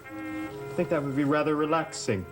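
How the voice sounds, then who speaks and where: An actor playing a sardonic, secretive young heir in a theatrical gothic soap, speaking with a mocking manner. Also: male voice, on the high side, normal speed, music in the background, natural voice. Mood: mocking, smug. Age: young adult. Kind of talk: 1960s-70s gothic TV soap acting.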